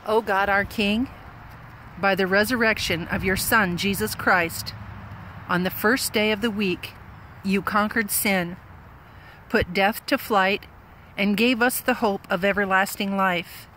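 A woman's voice: medium pitch, steady tone, a little slow, bright, forceful tone, a little hiss or hum, low-quality recording. A woman reading a formal liturgical prayer, recorded outdoors. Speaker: prayer reader.

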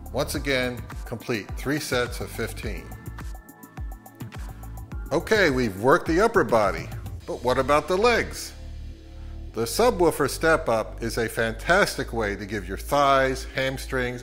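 A middle-aged man giving scripted, tongue-in-cheek instructions to viewers in a measured, deadpan way. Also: medium pitch. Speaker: host of a hobby audio channel. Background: music.

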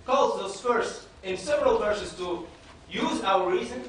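A man in his thirties, raised in Belgium with Dutch as his first language, giving an earnest, measured lecture from a panel table in a hall, often restarting his phrases. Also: sits high, lively intonation, fairly bright tone, a little hiss or hum. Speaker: panelist speaker. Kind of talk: public lecture. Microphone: table microphone.

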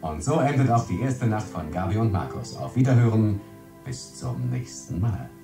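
Ein Schauspieler spielt in einem Hörspiel einen förmlichen, effektvollen Radioansager. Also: male voice, lowish voice, very animated, normal speed, mellow. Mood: formal, showy. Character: smooth radio announcer, showily formal. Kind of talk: announcer in a radio play. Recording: echoey, distant, music behind.

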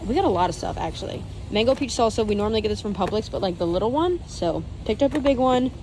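A woman's voice: medium pitch, expressive, fast talker. Young woman vlogging on her phone in a big store, chatty and upbeat, lots of "like", noisy background. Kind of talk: casual vlog chat. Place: large warehouse store, indoors. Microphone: phone camera held at arm's length.

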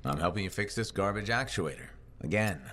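An actor playing an earnest, wry, determined freelance pilot in a video game, speaking in a dry, direct way. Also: male voice, sits low, expressive, brisk, a bit bright, a little hiss or hum. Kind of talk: video game dialogue acting.

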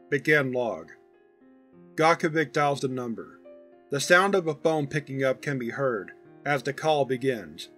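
A man reading fictional case files as a narrator, in a formal, measured reporting manner. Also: mid-range voice, a bit bright. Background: guitar music.

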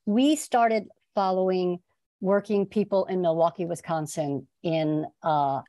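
A middle-aged woman talks as a documentary-maker guest in an interview. Her voice is on the low side and the sound is dry, with an informal, slightly halting way of explaining things. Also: steady tone, warm tone, dry recording. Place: plain room at home, indoors. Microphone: wired earbuds on video call.

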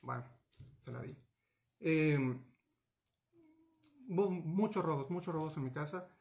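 Hombre que narra agitado por videollamada. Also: male voice, a little high, unhurried, vocal fry. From Mexico. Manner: animated, gesturing, many restarts and fillers. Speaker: interviewee. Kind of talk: recounting a personal story. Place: small home office with bookshelves. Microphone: video call webcam.

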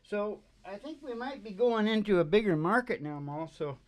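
A middle-aged man hosting with rambling, improvised storytelling in a folksy, halting way, speaking into a close stand microphone. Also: high voice, animated, no echo. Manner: folksy, halting, with trailing sentences. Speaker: podcast host and singing DJ. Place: small indoor stage with curtain backdrop.

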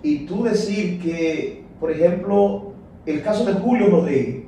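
A man's voice: sits high, unhurried, mellow, a little hiss or hum. Dominican man in an echoey room. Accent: Dominican Spanish. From Dominican Republic. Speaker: sports talk show host. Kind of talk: casual sports talk. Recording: echoey room picked up from a distance.

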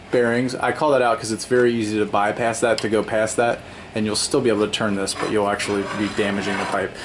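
A man talking through a home plumbing repair step by step at his workbench, relaxed and explanatory, often pausing with "um" and correcting himself. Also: medium pitch, background noise. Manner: relaxed and explanatory, with frequent ums and self-corrections. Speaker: DIY home repair presenter. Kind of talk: casual hands-on tutorial. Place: indoor workshop at a wooden workbench.

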